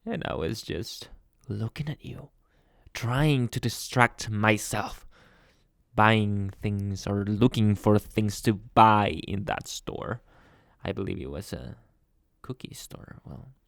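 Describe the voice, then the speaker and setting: A man playing a jealous, possessive young boyfriend in an ASMR roleplay, speaking with dramatic pauses and dropping into whispers. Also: on the low side, vocal fry, dry recording, studio quality. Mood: bitter, sulky.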